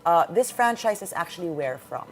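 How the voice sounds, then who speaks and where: A woman co-hosting a lunchtime talk show, playful and quick to laugh, mixing English and Filipino. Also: lowish voice, expressive. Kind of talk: casual talk show banter. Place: TV studio.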